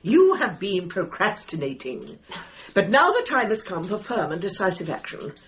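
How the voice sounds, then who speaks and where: An imperious older lady dog trainer, speaks with grand, formal pomposity and swooping, animated intonation. She presses her point relentlessly in a gently comic radio play, on a slightly dull recording. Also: mid-range voice, moderate pace. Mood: imperious, insistent. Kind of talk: radio comedy drama acting.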